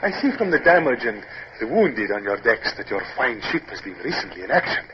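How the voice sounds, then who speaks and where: An actor playing an over-polite Spanish colonial officer in a 1950s radio drama, with flowery, formal phrasing. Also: male voice, medium pitch, sing-song, brisk, lightly acted. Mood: courtly. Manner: flowery, over-polite, flustered when surprised. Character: courtly, flattering Spanish colonial officer bearing letters. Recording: muffled, slightly noisy vintage radio recording.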